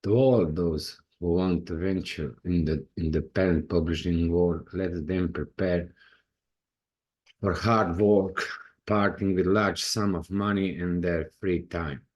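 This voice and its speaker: Croatian guy talking in english, slow and halting, kinda husky. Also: low voice, steady tone, a little mellow. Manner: halting, non-native phrasing with restarts. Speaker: indie author. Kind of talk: personal talk to camera.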